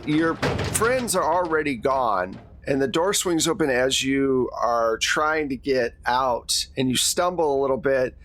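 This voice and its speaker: A man's voice: mid-range voice, expressive, a little slow, hardly any pauses, fairly bright tone, a little hiss or hum. A man narrating a tabletop roleplaying game and hosting casually as game master. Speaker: game master and podcast host.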